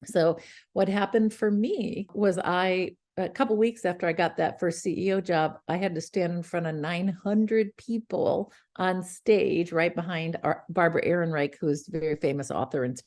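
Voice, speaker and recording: A woman's voice: lowish voice, normal speed, a little mellow. Earnest woman coaching her listeners. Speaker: podcast host. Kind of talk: motivational talk.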